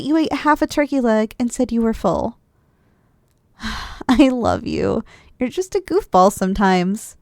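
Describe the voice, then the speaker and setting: Woman as sleepy, loving young-sounding wife for cuddly ASMR roleplay: soft, teasing, very animated pitch, close dry sound. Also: no room sound, exaggerated voice. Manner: soft, affectionate, teasing, with sighs and giggles. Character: affectionate, drowsy, playful wife cuddling after a big dinner. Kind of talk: ASMR roleplay, intimate one-sided dialogue.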